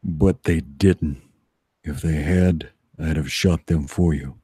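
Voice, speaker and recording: A man playing a stern, unflappable vigilante hero in an amateur comic-book dramatization, delivered in a slow deadpan drawl. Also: very deep voice, breathy edge, dry recording, clean studio sound, heavily acted. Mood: deadpan, lecturing.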